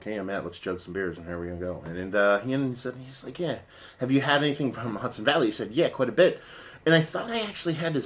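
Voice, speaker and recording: A man casually reviewing a beer in a rambling, profane way, his voice partly lost and sitting lower than usual. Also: fairly bright tone.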